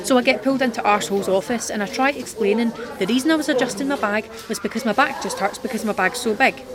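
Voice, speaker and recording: A woman playing a defiant, sharp-tongued teenage schoolgirl with snappy sarcasm in an audio drama. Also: on the high side, fast, close to an everyday voice. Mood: irritated. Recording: busy chatter behind the voice.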